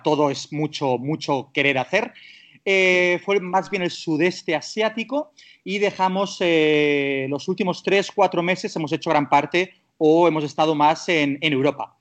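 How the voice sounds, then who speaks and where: A man with an accent from Spain speaks in a voice a little higher than most men's. He is a guest in a casual interview and often hesitates, circling back to rephrase what he says. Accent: Spanish from Spain. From Barcelona area, Spain. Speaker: podcast guest.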